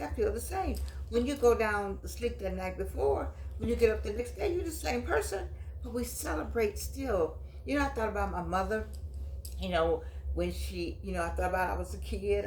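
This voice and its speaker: A woman in her eighties hosting her own vlog, chatting and reminiscing in long, drawn-out phrases. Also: mid-range voice, darkish tone, slight background noise, rough recording. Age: 80s. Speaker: host. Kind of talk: casual vlog monologue.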